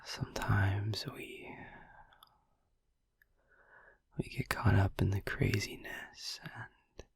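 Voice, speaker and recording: A man playing a gentle, devoted vampire boyfriend in an intimate ASMR roleplay, whispering breathily and soothingly with long pauses. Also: fairly low pitch, level tone, creaky, breathy edge, dry recording, exaggerated voice. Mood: soft, reassuring.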